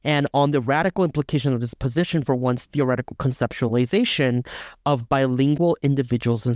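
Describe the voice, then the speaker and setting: A man's voice: mid-range voice, a little mellow, no echo, studio quality. A man co-hosting a podcast, talking through ideas in a casual academic discussion and often checking in with "right?". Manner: thinks aloud with fillers and restarts, often adds "right?". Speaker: podcast co-host.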